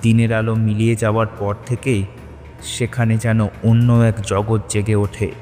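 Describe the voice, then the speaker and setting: A man's voice: lowish voice, little pitch movement, measured pace, darkish tone, big-room sound, faint music behind. A man narrating a scripted horror story in a dramatic, suspenseful manner.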